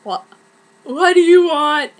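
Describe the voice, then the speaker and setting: Woman doing a moody teen girl, high voice, blunt and sulky, fanfic heroine. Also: takes it slowly. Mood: startled. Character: moody, self-pitying glamorous teenage girl heroine.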